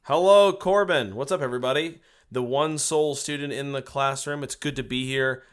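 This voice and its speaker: A man's voice: medium pitch, moderate pace, clean tone. A man co-hosting a comedy podcast as the student, goofy and wisecracking. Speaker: co-host as the class student.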